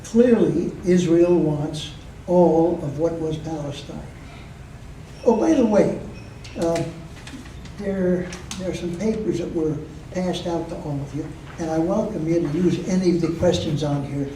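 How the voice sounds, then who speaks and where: Slow, dark-voiced older man in a roomy hall. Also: a little high, a little hiss or hum. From Michigan, USA. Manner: slow and measured, with frequent pauses and fillers. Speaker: guest speaker. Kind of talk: informal talk to a club audience. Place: meeting room. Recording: roomy echo, heard from a distance.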